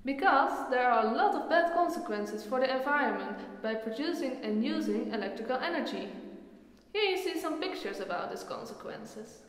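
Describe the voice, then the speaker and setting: A woman's voice: sits high, expressive, normal speed. A woman playing a knowledgeable, earnest friend who patiently explains things in a scripted educational film dialogue. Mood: earnest, concerned. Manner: explanatory, instructive. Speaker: character in a student educational film. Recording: very echoey hall-like reverberant room.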